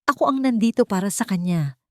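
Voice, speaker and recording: Woman acting as young mistress, cold, possessive, taunting, higher pitch, dry story recording. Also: animated, brisk, dark tone, no room sound, lightly acted.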